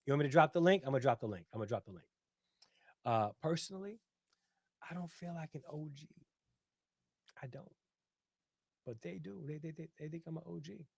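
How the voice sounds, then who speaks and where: A man's voice: on the high side, fairly quick, vocal fry, pauses often, slightly rough, dry recording. A middle-aged man hosting a casual livestream, talking at length, reading out viewer comments and often checking in with "right?". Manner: talkative, repeats himself, says "right?" often. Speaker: livestream host.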